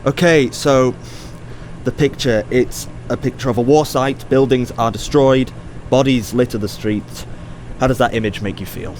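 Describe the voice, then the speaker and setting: A man acts out an inquisitive ethics professor with a medium-pitched voice. He is conversational and probing, feeling his way through his questions. It sounds like an audio drama, with faint city ambience behind him. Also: fairly even intonation, normal speed, darkish tone, slight background noise, natural voice. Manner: conversational, probing, hesitant restarts.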